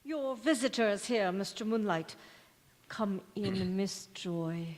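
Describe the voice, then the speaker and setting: A woman playing a calm, soothing confidante in an old-time radio drama, recorded on an echoey church hall stage. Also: medium pitch, takes it slowly. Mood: formal.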